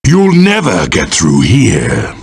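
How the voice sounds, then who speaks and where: A man's voice: low voice, huge pitch swings, slow, vocal fry, slightly rough, heavily acted. A man voicing a boastful, power-mad supervillain for a video game, grandiose, theatrical and menacing in delivery. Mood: taunting. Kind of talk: video game villain voice acting.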